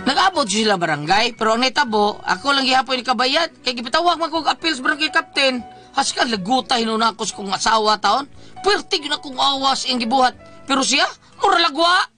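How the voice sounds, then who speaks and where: A man acts a grumbling, long-suffering husband in a comic radio drama. His voice is strikingly high and bright as he nags and complains, with faint music behind. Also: expressive, fairly quick, full character voice. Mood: exasperated. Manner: complaining, nagging. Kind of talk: Filipino comedy radio drama acting and narration.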